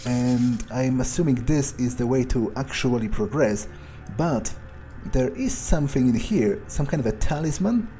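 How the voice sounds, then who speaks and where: A man's voice: mid-range voice, animated, normal speed, hardly any pauses, dark tone, soft background music. A man doing in-character video game commentary, thinking aloud in a hesitant, musing, sardonic way with casual swearing. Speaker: gaming video creator playing in character.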